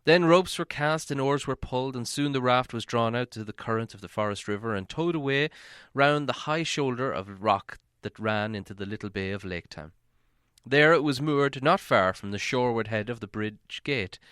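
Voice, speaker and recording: A male audiobook narrator reads a fantasy story in a medium-pitched voice. His delivery is dramatic and measured storytelling, captured in a very dry recording with little room sound. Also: a bit bright, smooth, no echo.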